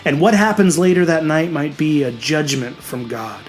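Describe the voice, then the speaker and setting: Man narrating horror, slightly high voice, music underneath. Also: moderate pace. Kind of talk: dramatic scripted horror story narration. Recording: music behind the voice.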